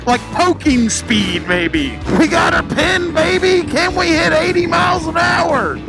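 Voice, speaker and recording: Male vlog host, high pitch, casual slangy delivery, self-deprecating humour, music in background. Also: expressive, unhurried, vocal fry.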